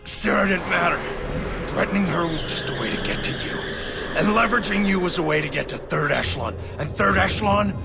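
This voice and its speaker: An actor playing a smug, ruthless rogue agency boss who taunts with drawn-out emphasis in a dramatic video game. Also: male voice, high voice, creaky, loud music behind the voice. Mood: smug, menacing.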